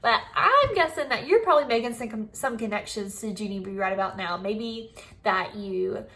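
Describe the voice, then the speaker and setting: A woman teacher giving an expressive storybook read-aloud, speaking directly to young pupils. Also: medium pitch, normal speed. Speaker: primary school teacher.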